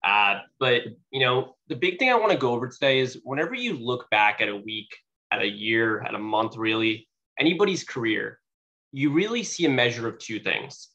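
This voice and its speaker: A man's voice: medium pitch, animated, moderate pace, bright, forceful tone. A young man gives a motivational speech at a sales meeting, talking casually with many fillers and sounding a little sniffly from allergies. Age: young adult. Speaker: guest speaker at a sales meeting. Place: meeting room. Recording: dull lo-fi recording.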